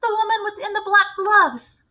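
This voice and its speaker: An anxious young boy, played by a woman reading aloud, very high and bright. The words are urgent and pleading, in a storybook style. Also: moderate pace, heavily acted. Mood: frightened pleading. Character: frightened young grandson, anxious and questioning.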